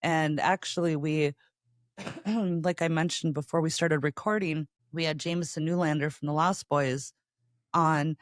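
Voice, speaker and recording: A man with a fairly high voice chats as a podcast host. He talks in a casual storytelling way, and the recording is close and dry. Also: steady tone, unhurried, no echo. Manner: chatty, conversational storytelling. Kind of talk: casual chat.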